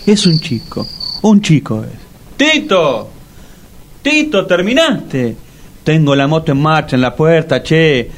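La Torca, a sly bargain-hunter. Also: male voice, on the high side, very animated, takes it slowly, long pauses, full character voice. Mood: impatient. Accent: Rioplatense Spanish.

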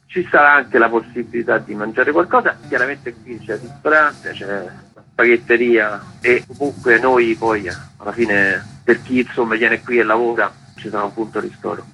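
A man's voice: mid-range voice, normal speed, a little hiss or hum, poor audio. A man, a festival organizer chatting loosely in a radio interview, full of fillers. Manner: rambling, relaxed, many fillers.

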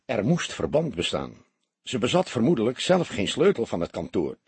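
A man narrating a literary crime story as an audiobook, in an expressive storytelling manner. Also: fairly low pitch, normal speed, husky, dry recording.

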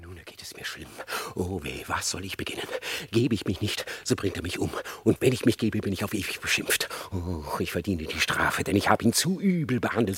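An actor playing a sly, scheming male fox in a radio play, flattering and sweet-talking. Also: mid-range voice, husky. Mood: fearful.

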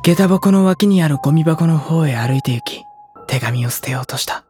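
A man's voice: fairly high pitch, animated, normal speed, close to an everyday voice. Earnest teenage schoolboy narrating over music, acted by a man. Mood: tense, brooding. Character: anxious teenage schoolboy narrator, introspective and hesitant. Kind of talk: Japanese audio drama acting and inner-monologue narration.

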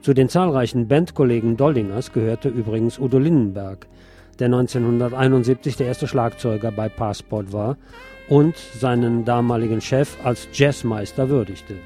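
Mellow-voiced man reading a scripted tribute. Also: medium pitch, faint music behind. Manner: measured, formal, reading from a script. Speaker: radio show host. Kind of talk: scripted narration, obituary tribute.

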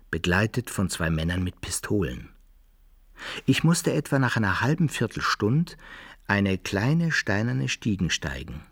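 An actor playing a proud, wary adventurer in a radio play, with measured, ceremonious, old-fashioned speech. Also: male voice, fairly low pitch, fairly bright tone, heavily acted. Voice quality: gravelly, husky. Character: proud, wary male adventurer recounting his exploits. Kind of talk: radio play acting and first-person narration in archaic German.

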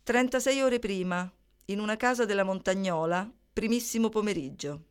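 Woman theatre narrator, mid pitch, scripted monologue, short rhythmic phrases. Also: moderate pace, clean tone.

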